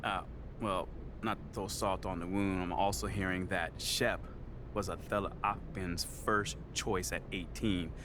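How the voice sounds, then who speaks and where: Low-voiced, needling male reporter. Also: steady tone, a little slow. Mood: probing. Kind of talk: naturalistic video game cutscene acting. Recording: clear low rumbling background noise.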